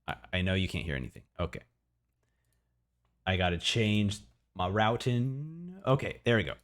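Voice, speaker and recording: Excitable man chatting on a livestream. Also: fairly low pitch. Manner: excitable, halting, repeats words while thinking. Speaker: streamer reacting to a video. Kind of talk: casual livestream chat.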